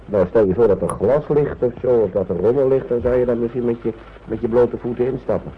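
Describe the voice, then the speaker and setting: A man's voice: mid-range voice, fast talker, close to an everyday voice. Actor as patient fatherly man, tinny old recording. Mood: reasoning, mildly firm. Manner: coaxing, hesitant, repeats his phrases. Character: patient, fatherly man shepherding tired young children. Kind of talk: naturalistic Dutch radio play acting. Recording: noisy, phone-like old radio recording.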